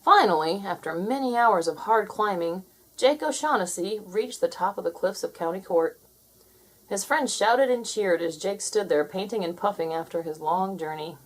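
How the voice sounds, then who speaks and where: A woman reading a children's story aloud as an expressive storyteller, in scripted narration. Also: mid-range voice, moderate pace, poor audio.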